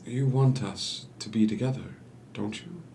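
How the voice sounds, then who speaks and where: Actor as a slow, manipulative, coaxing doctor. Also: male voice, mid-range voice, expressive, mellow, a little hiss or hum. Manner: deliberate, coaxing, hesitant pauses. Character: smooth, manipulative doctor and lover, coldly calculating. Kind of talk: theatrical period drama table read.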